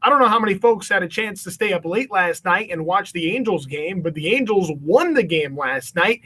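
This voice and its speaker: Man, notably high voice, casual interviewer, encouraging, event host. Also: fairly bright tone. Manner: encouraging, affirming. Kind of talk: casual upbeat hosting, reading audience questions.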